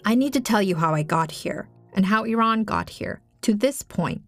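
A woman reporter from Afghanistan, a native Farsi speaker, narrating a documentary in a measured, serious way. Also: mid-range voice, lively intonation, fairly bright tone. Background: music.

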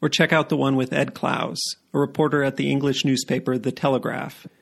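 A man's voice: medium pitch, creaky, husky. A man hosting an interview podcast, introducing episodes and prompting his guest with friendly questions. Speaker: podcast host. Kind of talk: interview host.

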